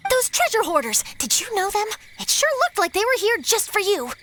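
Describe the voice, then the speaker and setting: An actress playing a keen, confident young female reporter in a video game, brisk and conspiratorial. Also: very high voice, very animated, fairly bright tone, a little hiss or hum, exaggerated voice. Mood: eager, watchful. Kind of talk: video game cutscene acting.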